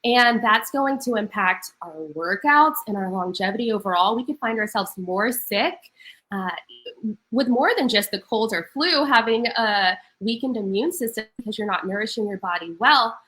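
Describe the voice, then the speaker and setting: Woman, young adult, wellness coach, congested, upbeat, earnest, bright voice. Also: a little high, expressive, normal speed, poor audio. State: congested from a cold. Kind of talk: casual podcast talk.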